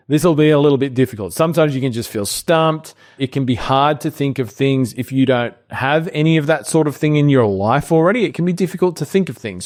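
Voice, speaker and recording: A middle-aged Australian man, co-host of a business training show, walks through a screen-share tutorial in a relaxed, explanatory way, thinking aloud with fillers. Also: on the high side.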